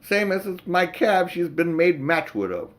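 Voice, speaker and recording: A man voicing a kindly working-class London cab driver in a storybook, folksy and coaxing, with dropped sounds and rough slang. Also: high-pitched, very animated, measured pace, a bit bright, pitched above the performer's own voice, full character voice. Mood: urgent.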